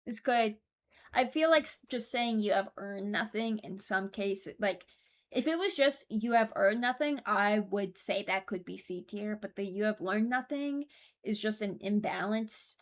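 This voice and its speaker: A woman's voice: mid-range voice, measured pace. A young woman hosting a video with casual, informal commentary on game voice lines, rambling and often interrupting herself. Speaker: streamer and video host.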